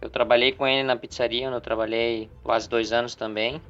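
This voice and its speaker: A man's voice: medium pitch, little pitch movement, a little fast, fairly bright tone. A man from southern Brazil co-hosting a casual podcast, talking in long rambling stretches full of restarts. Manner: rambling, many restarts and fillers. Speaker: podcast co-host. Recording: slightly dull podcast audio with faint music.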